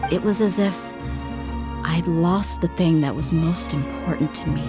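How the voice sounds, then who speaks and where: A tough young mercenary woman, voiced by an actress in a video game, earnest and reflective as she mourns her father. Her voice sits a bit low for a woman. Game music plays clearly under her. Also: a little slow, natural voice.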